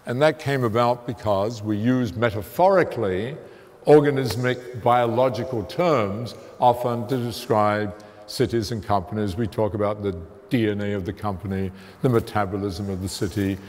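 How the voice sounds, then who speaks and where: A man giving a public science lecture, talking in an emphatic, conversational way with a fairly loud voice, away from the podium. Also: fairly low pitch, moderate pace. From immigrant to the United States. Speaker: guest lecturer, theoretical physicist. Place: museum lecture hall, walking away from the podium. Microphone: room mic, speaking without a handheld mic. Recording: long reverb of a lecture hall.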